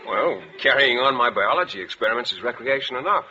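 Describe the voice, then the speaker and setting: An actor playing an earnest, shy, oblivious biology teacher with an American accent, speaking in a stiff, literal, hesitant way in 1940s radio comedy style. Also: male voice, medium pitch, expressive, fairly quick, close to an everyday voice. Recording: muffled, crackly 1948 radio recording.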